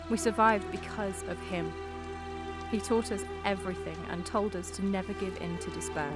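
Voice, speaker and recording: A woman's voice: mid-range voice, lightly acted. An actress playing a resolute head girl giving a rallying speech in an audio drama, with a Northern Irish accent, over music and rain. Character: resolute head girl leading post-apocalyptic school survivors.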